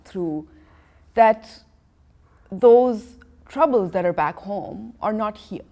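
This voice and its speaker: A woman's voice: lowish voice, a little mellow. A woman artist who grew up in Iran and Pakistan and speaks Farsi as her first language, telling her story in a rambling way full of restarts. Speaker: artist, interview guest. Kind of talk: casual conversational storytelling. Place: art gallery.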